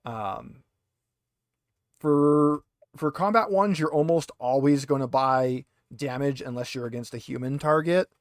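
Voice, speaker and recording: A man's voice: mid-range voice, a little slow, dry recording, clean studio sound. A man acting as game master, chatting casually and explaining the rules step by step. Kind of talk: casual tabletop game chat.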